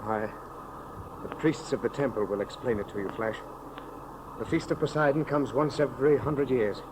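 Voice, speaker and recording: An actor in a 1930s radio serial playing an earnest, loyal military commander who speaks formally and gravely. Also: male voice, mid-range voice, normal speed, close to an everyday voice. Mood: grave, uneasy. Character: earnest, loyal Atlantean commander, the hero's companion. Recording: noisy old 1930s radio recording.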